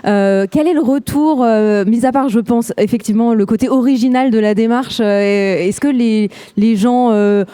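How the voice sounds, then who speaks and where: A woman's voice: fairly high pitch, moderate pace, hardly any pauses, dark tone, clear, resonant tone. A woman asking interview questions hesitantly, with many fillers. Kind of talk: casual interview chat.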